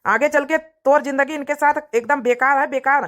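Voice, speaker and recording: Cartoon brother , voiced by a woman: Awadhi accent, high pitch, protective, hot-tempered, bluntly scolding. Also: steady tone, moderate pace, a bit bright. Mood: scornful. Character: angry, protective brother of a married sister. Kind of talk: cartoon family drama dialogue.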